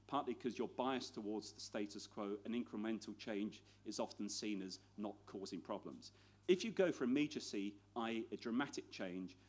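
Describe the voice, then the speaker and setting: A man's voice: lowish voice, a little mellow. A man closing his conference talk in clipped, emphatic points. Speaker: panel speaker. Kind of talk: conference presentation.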